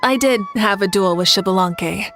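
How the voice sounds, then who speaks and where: A woman's voice: mid-range voice, normal speed, a bit bright, soft background music, close to an everyday voice. A woman voicing a confident, gracious female warrior ruler in a video game, with an assured, ceremonial manner. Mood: proud, amused.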